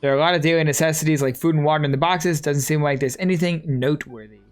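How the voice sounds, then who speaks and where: A game streamer giving casual, slangy, excitable live reactions and reading bits aloud. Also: mid-range voice, fast. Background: game music.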